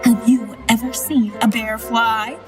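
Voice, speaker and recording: A woman's voice: on the high side, steady tone, a little slow, lightly acted. A woman playing a bombastic, shifty circus ringmaster in an audio drama, with grandiose, scornful showmanship. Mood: showy hype. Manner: grandiose barker delivery. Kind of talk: theatrical audio drama acting. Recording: music behind the voice.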